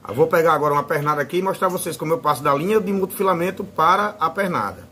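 A man's voice: a little high, rapid-fire, bright, forceful tone, a little hiss or hum. A man with a Northeastern Brazilian accent giving a casual, informal hands-on tutorial as a fishing channel host. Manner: informal, fond of diminutives.

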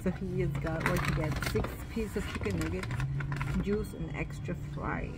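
A young woman chatting casually and excitedly to her phone camera while shopping and then eating out. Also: lowish voice, moderate pace. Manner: chatty, excited, exclaims over cute things. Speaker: vlogger. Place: busy store and fast-food restaurant. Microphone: handheld phone camera. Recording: noisy public place ambience.

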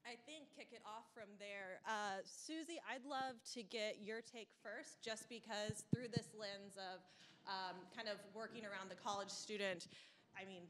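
A young woman moderating a panel in a lecture room with a handheld mic, talking briskly and upbeat. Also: medium pitch, steady tone, fairly bright tone. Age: young adult. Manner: brisk, upbeat, lots of fillers. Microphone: handheld microphone.

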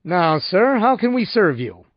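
Casting: male reader as fussy, unctuous salesman, pompous and deferential, lively high-pitched delivery, audiobook. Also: measured pace, no echo, highs cut off, exaggerated voice. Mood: reverent, unctuous. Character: unctuous, easily shocked male insurance salesman.

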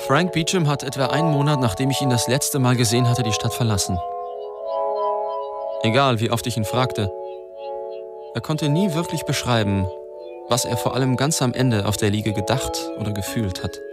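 A man's voice: medium pitch, normal speed, over loud music, natural voice. An actor playing a wry, divorced newspaper reporter who narrates his own story in a radio drama. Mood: reflective.

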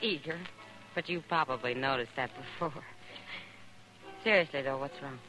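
A woman's voice: extremely low pitch, moderate pace, bright, forceful tone, soft background music, low-quality recording. An American actress playing a wry, flirtatious saloon woman in a 1950s radio western, speaking with easy familiarity. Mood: wry, concerned. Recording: vintage 1950s radio recording.

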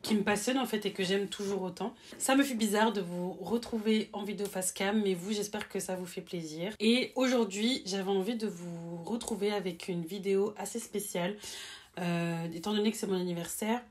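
A young woman in her late twenties sits in a small room and chats straight to her camera. She talks in a relaxed, rambling way, often pausing on fillers and restarting her sentences. Her voice sits at an ordinary middle pitch. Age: 20s. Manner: chatty, hesitant with many fillers and restarts. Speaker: YouTuber. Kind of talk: casual face-cam chat. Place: small room with bookshelf, seated.

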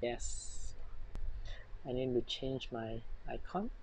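A man's voice: mid-range voice, takes it slowly, gravelly, slight background noise, low-quality recording. A young man in his twenties with a Singaporean accent, vlogging to his phone in a cluttered home workshop. He thinks aloud in a self-conscious way. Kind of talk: casual self-filmed vlog. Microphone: phone camera with clip mic.

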